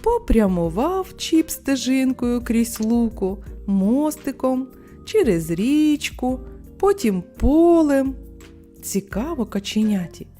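Slow, lively woman reading a fairy tale. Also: fairly high pitch, soft background music. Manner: expressive, unhurried bedtime reading. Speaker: storyteller. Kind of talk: scripted children's fairy tale narration.

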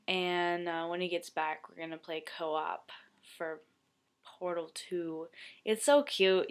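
A young woman with a stuffy nose chatting casually to her webcam in a bedroom, rambling and trailing off softly. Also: lowish voice. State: congested. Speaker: vlogger. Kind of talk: casual chat.

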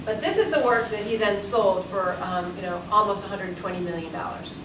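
A woman's voice: medium pitch, fairly quick. A woman in her forties, a curator giving a talk, telling anecdotes in a chatty way and laughing often. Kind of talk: lecture with anecdotes. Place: seminar room with audience. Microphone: room mic from far off. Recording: echoey room, distant mic, background hiss.

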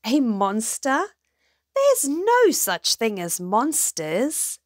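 A woman voicing a brisk, confident, sceptical rabbit in a storybook, speaking with dismissive certainty. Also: fairly high pitch, huge pitch swings, dry recording, clean studio sound, exaggerated voice. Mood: amused, sceptical.

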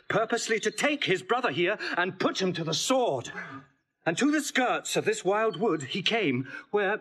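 Young nobleman, high voice, swooping intonation, earnest, declamatory Shakespearean verse. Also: fairly bright tone, husky, exaggerated voice, rough recording. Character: earnest young nobleman bringing joyful tidings. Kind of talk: classical Shakespearean stage verse.

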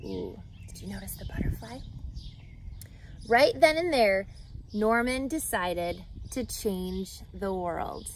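A woman reads a children's story aloud, expressive and emphatic, addressing young listeners. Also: medium pitch, slow pace, a little hiss or hum. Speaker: reading teacher, storyteller. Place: outdoors in a grassy lakeside yard.

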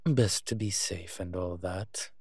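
A man's voice: low voice, slow, husky, dry recording, studio quality, full character voice. A man playing a refined, centuries-old vampire in a roleplay audio drama, pompous, wry and teasing. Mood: wry, brisk. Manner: pompous, wry, posh turns of phrase.

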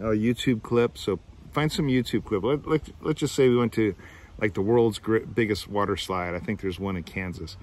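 A middle-aged man, filmed outdoors on a phone, explaining a class assignment in a rambling way, restarting his sentences and thinking aloud. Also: on the low side. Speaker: teacher. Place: outdoors among trees. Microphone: phone camera at arm's length.

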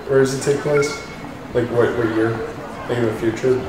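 A man's voice: mid-range voice, fairly even intonation, moderate pace, noisy background. A man doing casual, joking gaming commentary with rambling asides. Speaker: let's play host. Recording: roomy echo, distant mic, music behind. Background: game music.

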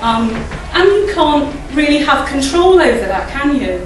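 Middle-aged woman, croaky, leading a chatty church talk at a lectern mic; distant, echoey hall with noise behind. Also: sits high, sing-song, unhurried. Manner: chatty, asks the audience tag questions and laughs often. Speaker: church speaker. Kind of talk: informal talk at a church service. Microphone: standing mic at a lectern, filmed by a far camera.

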